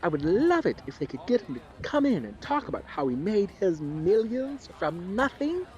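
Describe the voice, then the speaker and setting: An actor plays an eager, starry-eyed man with a fairly high voice and very lively intonation. He makes earnest, gushing small talk as a true believer in an audio drama. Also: moderate pace, dull audio. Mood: gushing admiration. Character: eager, starry-eyed male devotee of an organization.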